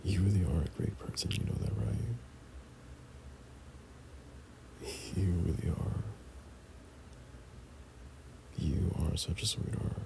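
A young man playing an affectionate boyfriend in intimate ASMR roleplay, breathy and half-whispering, speaking hesitantly. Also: deep voice, background noise. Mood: tender. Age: young adult. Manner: slow, hesitant, soft chuckles between phrases. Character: tender, affectionate young boyfriend talking to his partner. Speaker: audio roleplay performer.

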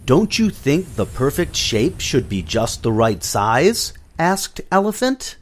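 A man narrating a children's storybook app, reading the story and gently prompting a child to tap and find shapes. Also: medium pitch, sing-song. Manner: slow, sing-song, coaxing prompts to a child. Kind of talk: children's read-along narration.

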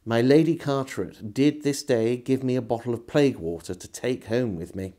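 A middle-aged man with an English accent reads an old diary aloud as expressive literary narration, in a measured, old-fashioned storytelling way. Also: mid-range voice. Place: small indoor room lined with shelves.

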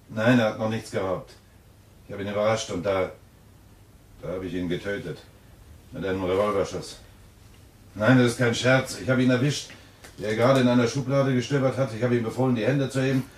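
An actor playing a grimly resolved, obsessed husband in a German thriller radio play, brooding and deliberate in manner, with a husky voice. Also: male voice, lowish voice, slight background noise, lightly acted. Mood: agitated insisting.

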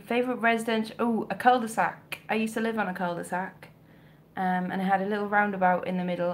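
A woman's voice: fairly low pitch, slight background noise. A woman from South Wales in her thirties with a mild Welsh accent, chatting casually and joking as she answers comments on a home live stream. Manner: chatty, self-mocking, jokey asides. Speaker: vintage clothing reseller, livestream host. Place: home bedroom with shelves, indoors. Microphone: webcam or phone close up.

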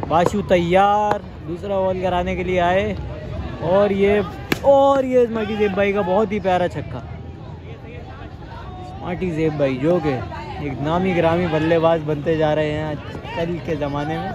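Young male cricket caller, fairly high voice, excitable, crowd noise all around. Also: a little slow, lo-fi sound. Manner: excited, rising sharply on big shots. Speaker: cricket match commentator. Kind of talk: live amateur cricket commentary. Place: outdoor sandy ground with a crowd.

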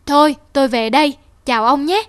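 A woman plays a frank, kindly old neighbour in a cartoon drama. He gives advice in a plain-spoken, modest way, high in pitch and recorded dry. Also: brisk, no echo, lightly acted. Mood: politely firm. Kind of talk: animated moral drama dialogue.

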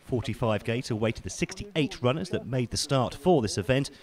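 A man giving polished, scripted voice-over commentary on ski race highlights. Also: lively intonation, a little mellow. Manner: measured, polished broadcast delivery. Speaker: sports commentator.

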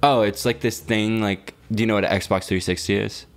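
Low-voiced young man chatting casually. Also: steady tone, fairly quick. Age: young adult. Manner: teasing, slangy, swearing. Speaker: podcast co-host. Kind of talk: casual chat, storytelling.

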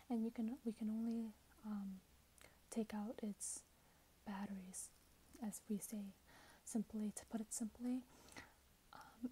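A young woman in her twenties doing soft-spoken ASMR roleplay, often whispering, with a gentle, hesitant, polite customer-service manner. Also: a little high, low-quality recording. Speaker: ASMR creator playing a robot companion clerk. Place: small plain room indoors. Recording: hissy background noise, keyboard typing. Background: keyboard typing and clicks.

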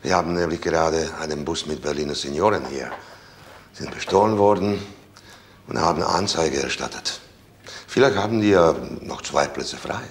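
An actor playing a stern but fair police inspector in a comedy radio play, speaking in an official, deliberate manner. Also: male voice, very deep voice. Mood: calm, matter-of-fact.

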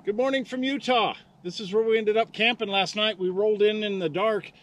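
A man's voice: high voice, steady tone, a little fast, a little hiss or hum. A middle-aged man from California with an American accent, chatting casually to camera as a travel vlogger. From California, United States. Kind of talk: casual outdoor travel vlog, talking to camera and puppy. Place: outdoors in woods and desert, sometimes in a vehicle. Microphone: handheld action camera at arm's length.

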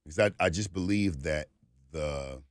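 A middle-aged man hosting an interview in a radio studio, posing slow, measured questions. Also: a bass voice, dry recording. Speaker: talk show host. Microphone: studio boom mic.

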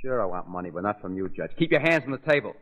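Low-voiced, bullying gangster thug. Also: male voice, very animated, fast, a bit bright. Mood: menacing. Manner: slangy, bullying, blunt. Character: tough male gangster henchman, threatening, sneering, uneducated. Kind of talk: 1930s radio drama acting. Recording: muffled phone-like old radio recording.

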